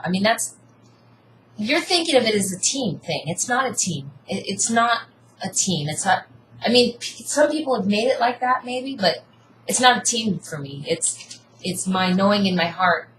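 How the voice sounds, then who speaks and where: A woman's voice: mid-range voice, fairly bright tone. Insistent woman in an echoey room. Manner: earnest, insistent, preachy about seeking truth. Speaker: co-host debating. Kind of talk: casual argument. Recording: echoey room, faint hiss.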